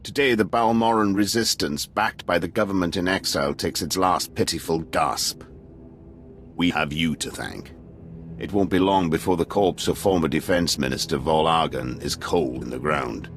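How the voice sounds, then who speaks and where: An actor playing a stern, patriotic military commander in a video game, speaking in a formal, pompous and deferential briefing manner. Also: male voice, sits low, normal speed, close to an everyday voice. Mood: grave, confident. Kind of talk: scripted game cutscene acting.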